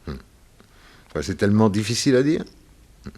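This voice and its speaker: Low-voiced, doting gentleman. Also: big pitch swings, fairly quick. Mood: coaxing, tender. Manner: coaxing, hesitant when pressed. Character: wealthy, doting, evasive stockbroker, indulgent lover. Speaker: actor. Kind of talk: early 1960s French radio drama acting.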